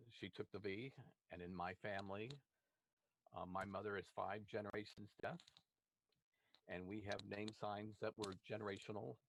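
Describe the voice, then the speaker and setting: A man from Oakland with a somewhat low voice introduces himself on a discussion panel. He talks in a halting way, pausing on fillers and restarting his sentences. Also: poor audio. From Oakland, California. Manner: hesitant, many fillers and restarts.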